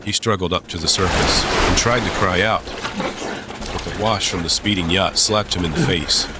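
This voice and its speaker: A man narrating a dramatized audiobook in a measured, suspenseful storytelling style. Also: deep voice, heavy background noise.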